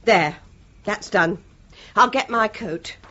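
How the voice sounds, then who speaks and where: An actress playing an exasperated, resentful British housewife in a radio play. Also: female voice, mid-range voice, slow, bright, forceful tone, a little hiss or hum. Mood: brisk. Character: harried, exasperated housewife resentful of her husband's absences. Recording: dull, muffled radio drama recording.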